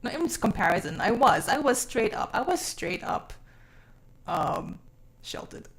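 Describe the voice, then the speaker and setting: Woman, from South Africa, raised overseas, live streamer, casual chat, expressive, informal, sweary. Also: a little high, moderate pace, gravelly. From born in South Africa, grew up mainly overseas from age six. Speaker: live streamer chatting with viewers.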